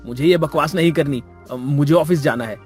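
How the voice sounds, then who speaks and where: An actor playing an impatient, grumbling husband with a husky voice in a family drama story. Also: male voice, on the high side, normal speed, darkish tone, soft background music, close to an everyday voice. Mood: annoyed. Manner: irritated, complaining.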